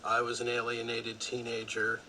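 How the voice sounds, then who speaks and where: Bright-voiced, deliberate man. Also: mid-range voice, monotone, a little hiss or hum, low-quality recording. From New Jersey, USA. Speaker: case supporter interviewed in a documentary. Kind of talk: documentary interview.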